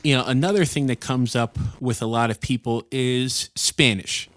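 A man's voice: medium pitch, moderate pace, fairly bright tone. A man hosting a podcast, chatting easily, asking questions and affirming his guest. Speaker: podcast host. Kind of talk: casual interview chat. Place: Ecuador.

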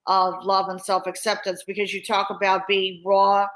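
A woman's voice: medium pitch, monotone, normal speed. A woman hosting a podcast, affirming her guest and posing long questions with restarts. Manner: warm encouraging interviewer, affirming, with restarts when asking. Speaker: podcast host. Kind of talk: interview hosting.

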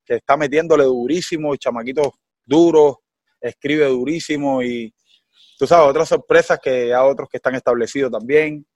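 A young Cuban man with a Cuban accent chatting as a guest in an interview, animated and full of fillers like "you know.". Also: medium pitch, moderate pace, no echo. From Cuba. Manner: animated, heavy fillers like "tú sabes" and "¿me entiendes?". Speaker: guest singer. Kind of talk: casual interview chat.

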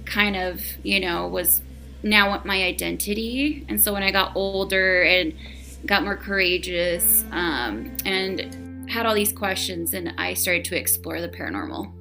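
A woman's voice: on the low side, measured pace, sharp tone, soft background music. A woman from the US and Argentina, chatting as a podcast guest with frequent laughs and fillers. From United States and Argentina. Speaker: guest, paranormal investigator. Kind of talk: casual interview chat.

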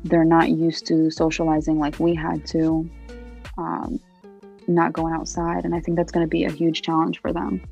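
A woman's voice: low-pitched, completely flat delivery, normal speed, creaky, a little mellow, lo-fi sound, faint music behind. A young American-born woman answering interview questions about her poetry and identity in an earnest, thoughtful way, with frequent "you know" fillers. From United States. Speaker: interviewee, poet.

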